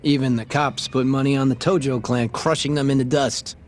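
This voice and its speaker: An actor playing a sly, shameless crooked cop who talks in a casual, glib way in a video game scene. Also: male voice, mid-range voice, fairly even intonation, brisk, natural voice. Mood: knowing. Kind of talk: video game cutscene acting.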